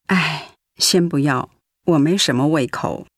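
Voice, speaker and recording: An actress playing a weary, devout middle-aged pastor's wife in a radio drama, ailing but calm and accepting. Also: female voice, low voice, unhurried, no echo, studio quality. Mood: tired, gentle. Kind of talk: Mandarin radio drama acting.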